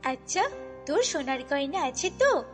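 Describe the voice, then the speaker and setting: Woman as a shrill-high, haughty rich girl. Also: sing-song, normal speed. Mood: boastful, scornful. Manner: haughty, taunting. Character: boastful, snobbish rich little girl who mocks the poor. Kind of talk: children's fairy-tale cartoon voicing. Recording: long reverb, music bed.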